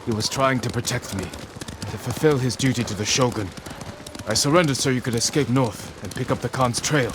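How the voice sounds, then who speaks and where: An actor playing a stoic, dutiful samurai outlaw in a dramatic game cutscene, speaking in a solemn, measured, earnest manner. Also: male voice, mid-range voice, noisy background, natural voice. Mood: solemn, regretful. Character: stoic, dutiful samurai man turned outlaw, guilt-laden but resolute.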